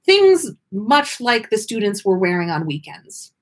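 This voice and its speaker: Woman podcast host reading fan stories out loud, super expressive, playful, voice goes all over. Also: mid-range voice, measured pace. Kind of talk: animated story reading with casual chat.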